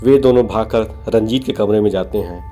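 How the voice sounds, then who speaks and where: Mellow, lowish male story narrator. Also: normal speed, faint music behind. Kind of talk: scripted horror story narration.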